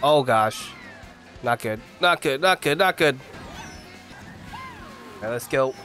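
A young man with a congested voice from the flu, giving casual, excitable commentary as he plays a racing game at home on a desk mic. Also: fairly high pitch, takes it slowly, faint music behind. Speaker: gaming YouTuber. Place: home gaming room. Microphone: desk mic, face camera.

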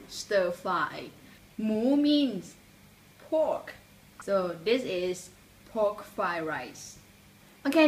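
A woman's voice: medium pitch, sharp tone. A young woman with a Thai accent teaching food words to the camera in a cheerful, careful lesson, filmed at desk distance in a small room. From Thailand.

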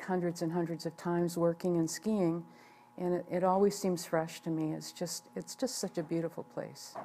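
A woman in her sixties, a ski club president, talking in a measured way with frequent fillers in a seated interview indoors. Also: fairly low pitch, warm tone. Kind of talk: on-camera interview. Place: indoor room by a wood stove.

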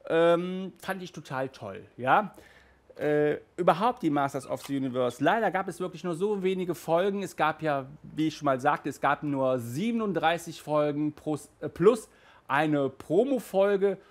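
A man in his thirties with a slightly raised voice, talking in a chatty, animated way as he reviews. He sits in a small room full of shelves and speaks into a headset mic. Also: a little high, a little slow. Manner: chatty and animated, gestures with hands. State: hot and sweaty in a stuffy room. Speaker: audio drama reviewer. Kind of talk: casual video review.

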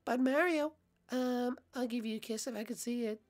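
A man voicing a girlish cartoon princess who pleads bossily in a wheedling, drawn-out way. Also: very high voice, pitched above the performer's own voice. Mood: coaxing.

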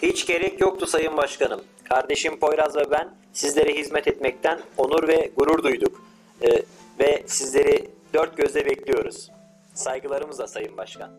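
A man voicing a confident male lead scientist who gives orders to his younger brother in a bossy but warm way. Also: on the high side, moderate pace, faint music behind, natural voice, low-quality recording. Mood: commanding.

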